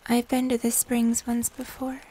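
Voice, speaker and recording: A young woman playing a gentle, wistful moth-human hybrid of the woods in a slow, intimate fantasy ASMR roleplay. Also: fairly high pitch, monotone, husky, poor audio. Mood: awed, curious. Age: young adult. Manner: slow, soft, entranced, intimate.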